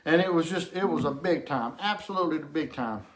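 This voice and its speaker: A man's voice: fairly high pitch, expressive, fairly quick, poor audio. A man telling a casual comic anecdote to camera in a rambling, folksy way, often repeating himself. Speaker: storyteller.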